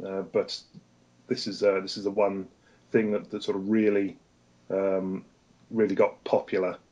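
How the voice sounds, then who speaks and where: A man from England with a Lancashire accent, a guest comic artist chatting in a podcast interview, pausing often on uh and um as he talks. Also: deep voice, level tone, a little mellow, dull audio. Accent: Lancashire English. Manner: hesitant, many "uh" and "um" fillers.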